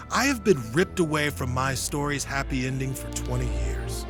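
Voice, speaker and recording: A man playing a weary, middle-aged retired hero in a fantasy audio drama, earnest and solemn in his measured speeches. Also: fairly high pitch.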